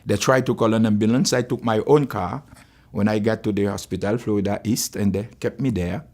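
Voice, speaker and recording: Man, 60s, Haitian accent, Creole first language, pastor, low-ish voice, earnest testimony. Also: steady tone, brisk, a little mellow. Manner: earnest, simple phrasing, repeats himself. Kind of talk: personal testimony.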